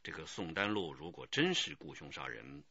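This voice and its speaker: An actor playing a decisive, authoritative police detective chief giving orders in a radio drama. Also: male voice, very deep voice, big pitch swings, normal speed, bright, forceful tone, husky, muffled recording, heavily acted.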